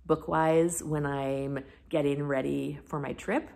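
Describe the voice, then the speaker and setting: A woman's voice: deep voice, flat delivery, takes it slowly, vocal fry, a little mellow, slight background noise. A woman talking casually and candidly to her viewers as a book vlogger, leaning on key words for emphasis. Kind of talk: casual chatty monologue to camera about reading plans.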